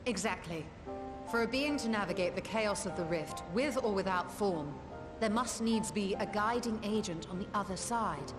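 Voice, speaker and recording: An actress voices a learned, adventurous woman mage in a fantasy video game. She speaks in formal, articulate phrases like a confident lecturer, at a medium pitch. Loud music plays behind her. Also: moderate pace, natural voice. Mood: measured, explanatory. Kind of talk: scripted video game dialogue.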